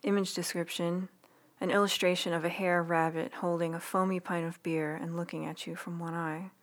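A woman with a fairly low voice reads her own writing aloud as an ASMR read-along. Her delivery is soft, unhurried and intimate. Breaths and small lip sounds come through in a close recording made in a quiet room. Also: little pitch movement. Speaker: writer reading own newsletter aloud.

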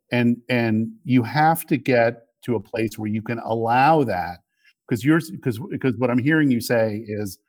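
Mid-pitched man in a dry recording. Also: a little mellow, dry recording. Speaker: podcast guest, media executive. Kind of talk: casual political discussion.

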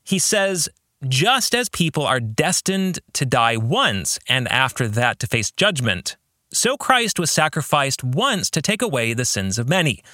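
Slow, bright-voiced man with animated pitch. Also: no echo, studio quality. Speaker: podcast host and novelist.

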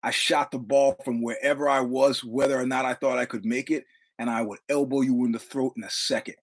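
A man's voice: mid-range voice, steady tone, a little fast. A man, hosting his show and telling a profane, swaggering story while acting out the dialogue. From New York, Brooklyn. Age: 60s. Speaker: podcast host. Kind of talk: casual storytelling monologue.

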